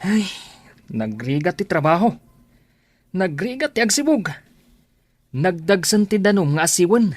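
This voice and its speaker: A man's voice: sits high, very animated, unhurried, long pauses, dry recording, heavily acted. A man with an Ilocano accent playing a lazy, evasive young husband who grumbles in a radio drama. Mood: grumbling.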